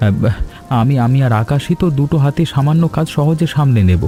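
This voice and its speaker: A man's voice: on the high side, fairly quick, mellow, soft background music, natural voice. A man playing a dutiful, respectful grown son of a farmer in a scripted cartoon. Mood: reassuring.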